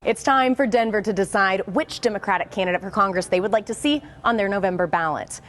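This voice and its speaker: A woman's voice: medium pitch, fast talker, a bit bright. A woman with an American accent working as a television news reporter, delivering scripted narration and interview questions.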